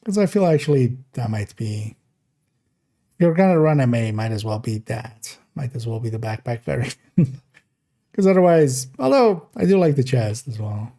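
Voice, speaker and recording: Gravelly, mellow-voiced Dutch man. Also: mid-range voice, moderate pace. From Netherlands. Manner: thinking aloud, relaxed and meandering. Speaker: streamer. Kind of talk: casual live-stream commentary.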